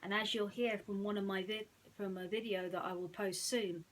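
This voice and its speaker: A woman speaking in an earnest, slightly halting way, as a storyteller chatting and reading to children. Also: medium pitch, fairly even intonation, a little hiss or hum, low-quality recording. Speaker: storyteller reading bedtime stories.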